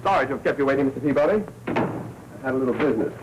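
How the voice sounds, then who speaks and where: Actor as tough american guy talking fast, 40s crime movie, slightly noisy old audio. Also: mid-range voice, expressive, close to an everyday voice. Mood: businesslike. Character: brisk, needling, tough man in a crime film. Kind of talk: fast 1940s crime film acting. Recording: dull, hissy 1940s film soundtrack.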